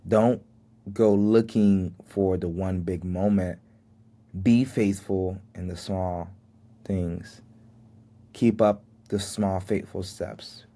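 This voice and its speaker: A man's voice: sits low, fairly even intonation, slow, mellow. A man in his twenties, a podcast host whose first language was Haitian Creole, sharing life lessons in an earnest, faith-filled way and chuckling at himself now and then. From United States. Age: 20s. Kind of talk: solo reflective podcast talk.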